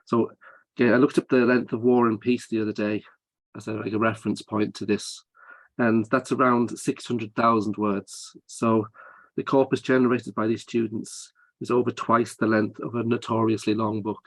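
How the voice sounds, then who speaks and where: A man's voice: on the low side, flat delivery, normal speed, no echo, rough recording. A man presenting research findings at a conference, explaining his methods step by step with frequent hesitations.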